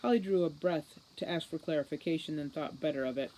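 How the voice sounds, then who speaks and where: A woman's voice: low voice, fast, warm tone, slight background noise, poor audio. A woman reading scripted storybook narration as an audiobook reader.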